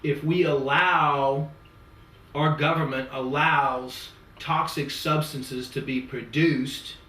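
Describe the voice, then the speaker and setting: A man's voice: on the high side, a bit bright, a little hiss or hum. A man working as a natural health practitioner, explaining his approach to a client in a lecturing way, building long lists and analogies. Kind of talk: consultation with a client. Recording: echoey room, mic at a distance.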